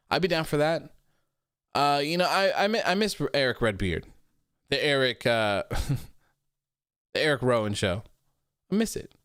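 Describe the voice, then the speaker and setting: A man's voice: mid-range voice, a bit bright, clean tone, no room sound, studio quality. A man in his early thirties, hosting a livestream and chatting loosely, reading viewer comments aloud with lots of likes and ums. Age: 30s. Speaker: podcast host reading live chat. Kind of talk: casual livestream chat.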